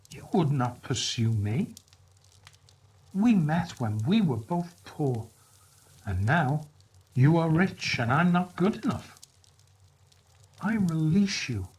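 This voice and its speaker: Storybook sweetheart, young woman acted by a male reader: soft, sad, gentle, higher pitch, unhurried. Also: husky, full character voice. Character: gentle, sorrowful young woman releasing her lover.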